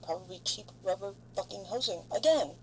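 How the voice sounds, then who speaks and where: A woman acting a weary, foul-mouthed, defiant lone spaceship survivor logging a halting, sardonic monologue in an audio drama. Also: medium pitch, close to an everyday voice. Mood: irritated, sardonic. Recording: clear background noise, humming ship ambience. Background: noisy hum of spaceship sound design.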